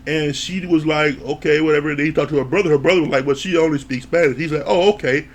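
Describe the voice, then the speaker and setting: A man giving a casual, animated recap of a reality TV episode, laughing, swearing, and acting out what people said. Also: a little high, fast talker, a little hiss or hum. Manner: animated, laughs, acts out quoted lines, swears. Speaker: reality TV reviewer.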